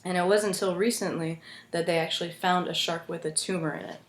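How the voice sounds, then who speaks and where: Woman, young adult, from the US, earnest, halting, fairly low voice, reverberant room. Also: steady tone. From United States. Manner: earnest, halting, frequent restarts. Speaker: student speaker. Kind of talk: informative class speech. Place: room at home with roommates listening. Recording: echoey room.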